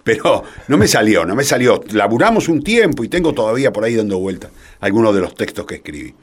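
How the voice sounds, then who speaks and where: An older man with a Rioplatense Argentine accent recounts anecdotes in a very animated, swooping voice. He is self-deprecating and conversational, often breaking off and circling back as an interview guest. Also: lowish voice, fast, husky. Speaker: interview guest, theatre playwright. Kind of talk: casual anecdotal storytelling.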